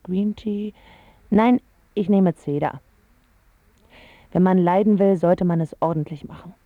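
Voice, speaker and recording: A young woman playing a lovesick, sulky teenage girl from a wealthy family in a radio drama monologue, sardonic and husky, in clipped phrases. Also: fairly low pitch, dry recording, narrow, tinny audio. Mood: brooding.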